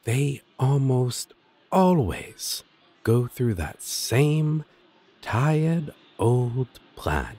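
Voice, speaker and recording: Husky, flirty demon roommate played by a man. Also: medium pitch, very animated, mellow, exaggerated voice. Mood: musing, relaxed. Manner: teasing, casual, drawn-out delivery with dramatic swoops. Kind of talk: scripted audio roleplay addressed to listener.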